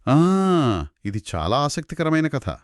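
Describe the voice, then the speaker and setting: A man's voice: on the high side, big pitch swings, slow, dry recording, clean studio sound. A man co-hosting a conversational story discussion in an analytical, explanatory way. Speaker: podcast co-host.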